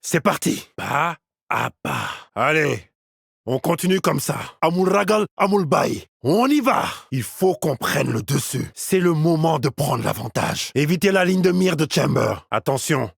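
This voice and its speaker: A confident male tactical agent from a shooter game. His voice sits a little high, with a gravelly edge, and swings in pitch as he barks clipped, commanding callouts with cocky assurance. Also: sing-song, a little slow, no room sound, studio quality, full character voice. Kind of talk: short video game combat callouts.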